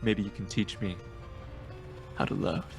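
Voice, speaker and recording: Guy doing a low voiced vain lover boy from a radio play, super theatrical, music loud under him. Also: steady tone, measured pace, gravelly. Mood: tender, earnest. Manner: grandiose, theatrical romantic delivery. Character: vain, melodramatic young male lover and dancer.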